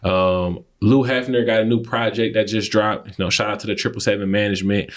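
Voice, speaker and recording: A man's voice: fairly low pitch, fairly even intonation, brisk. A man in his twenties with a Detroit accent, talking casually and expressively in slang as an interview guest. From Detroit, United States. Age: 20s. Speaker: podcast guest, community relations consultant.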